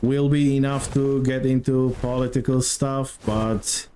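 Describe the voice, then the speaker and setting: A man with a heavy Turkish accent talks slowly and evenly, his tone staying flat and mellow. He chats with his viewers while he plays, his English halting as he searches for words. Faint noise hums underneath. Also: mid-range voice. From Turkey. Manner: chatty, answers viewers, halting non-native English. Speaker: game streamer. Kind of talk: live stream chat while playing.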